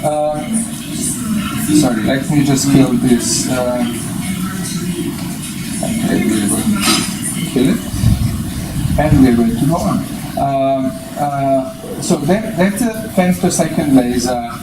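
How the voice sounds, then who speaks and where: A man in his fifties giving an explanatory lecture in a deliberate, step-by-step way, presenting from a lectern. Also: medium pitch. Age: 50s. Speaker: presenter, eye surgery specialist. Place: small indoor meeting room at a lectern. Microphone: distant camera microphone. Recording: echoey, noisy room, distant microphone.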